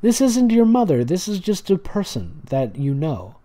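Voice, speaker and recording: Animated, sarcastic man with a mellow voice. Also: high voice, normal speed. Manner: sarcastic, mocking, dramatic asides. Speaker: host reading and mocking fan fiction. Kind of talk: casual comedic read-aloud with sarcastic commentary.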